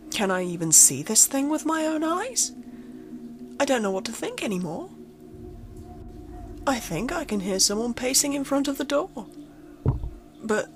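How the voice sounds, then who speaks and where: A woman's voice: a little high, background noise. A woman reading a first-person horror story aloud in a dramatic, suspenseful, acted-out way. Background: music.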